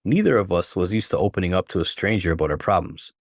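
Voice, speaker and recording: A man narrates a first-person story with an expressive, emphatic delivery. His voice sits on the low side and swings widely in pitch. The recording is close and dry. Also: quick pace, dry recording.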